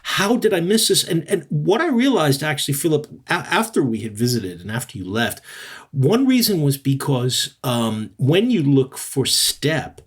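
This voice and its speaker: Husky guy telling stories in a chill chat, does little voices when he quotes people. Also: on the high side, normal speed. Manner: animated storyteller, acts out quoted voices, laughs easily. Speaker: co-host, jazz bar hunter. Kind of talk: casual conversational podcast chat.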